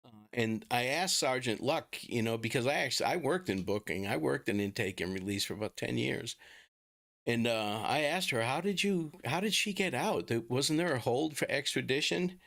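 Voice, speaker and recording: A middle-aged man with a Boston accent talks casually and haltingly to viewers as a livestream host. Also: mid-range voice, animated, creaky, fairly bright tone. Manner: informal, chatty, halting.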